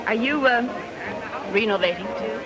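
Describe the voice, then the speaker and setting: A woman acts a sly, flirtatious femme fatale in a vintage radio drama. Loud music plays right behind her voice. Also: on the high side, normal speed, over a noisy crowd, lightly acted. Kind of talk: old-time radio noir acting.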